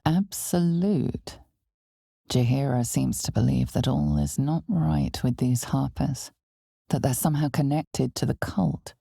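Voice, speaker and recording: Casting: female dark fantasy game narrator, ominous and knowing, husky low register, slow deliberate pacing. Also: dry recording, clean studio sound, heavily acted. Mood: grave, commanding. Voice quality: husky, gravelly, breathy. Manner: measured, deliberate, hushed theatrical delivery. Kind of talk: dramatic scripted second-person fantasy game narration.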